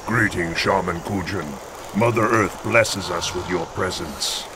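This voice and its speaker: A gruff orc chief in a fantasy game. The voice is low and gravelly, delivering short, plain sentences over clearly audible background noise. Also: male voice, unhurried. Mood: respectful. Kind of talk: video game cutscene acting.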